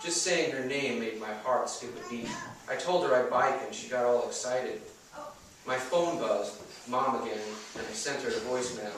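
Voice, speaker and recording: A man's voice: mid-range voice, normal speed, a crowd in the background. A man reading first-person fiction aloud as its author at a live bookstore event. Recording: echoey room, distant mic, faint noise.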